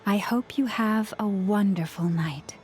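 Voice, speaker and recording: A woman's voice: mid-range voice, a little slow, darkish tone, faint music behind, natural voice. A woman voicing a composed, courteous young woman in a fantasy video game.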